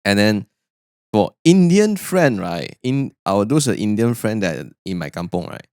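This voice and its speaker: A young Malaysian man with a very low voice talks in a relaxed, hesitant way on a casual podcast, his English sprinkled with Malay words. He is recorded dry and close, with no echo. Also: a little slow, clean tone. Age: young adult. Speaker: podcast co-host. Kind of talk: casual chat.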